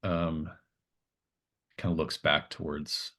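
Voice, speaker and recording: A man narrating a tabletop game as game master, improvising in a halting, thinking-aloud way. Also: rumbling low, flat delivery, pushed and bright.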